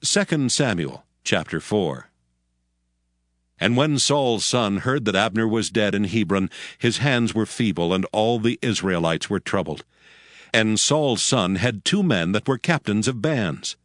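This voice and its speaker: A man giving a measured, scripted narration of scripture. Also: on the low side, no echo, studio quality. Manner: measured, even reading. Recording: music bed under voice.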